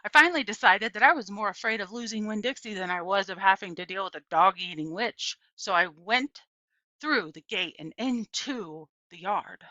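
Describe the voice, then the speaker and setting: A woman reading a children's story aloud as expressive narration. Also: mid-range voice, normal speed, no echo, muffled recording. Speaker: audiobook reader.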